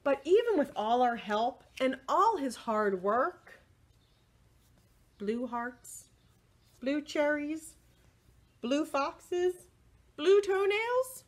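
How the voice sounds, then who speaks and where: Female storyteller, middle-aged, for children's read-aloud. Highish, swooping pitch, expressive delivery, deliberate phrasing. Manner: expressive, slow and deliberate, with short asides to the listeners. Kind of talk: picture-book read-aloud for young children. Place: indoors, small room with bookshelves.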